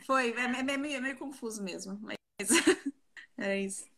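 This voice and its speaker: Woman, twenties, higher-pitched, bright tone, chatty and giggly, interview guest. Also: measured pace. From Brazil, partly raised in South Korea. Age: 20s. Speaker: interview guest, professional flautist. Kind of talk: casual chat interview.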